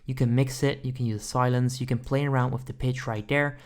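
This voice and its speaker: A man narrating a casual software tutorial as host, explaining things informally step by step. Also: mid-range voice, steady tone, fairly quick, slight background noise.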